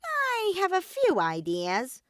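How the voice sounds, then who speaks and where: A woman's voice: very high-pitched, wildly swooping pitch, slow pace, a bit bright, no room sound, studio quality, voice pushed up, full character voice. A woman voicing a mischievous, grumpy robotic bear villain with a flippant, cartoonish delivery, in a fan story reading. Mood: flippant, scheming.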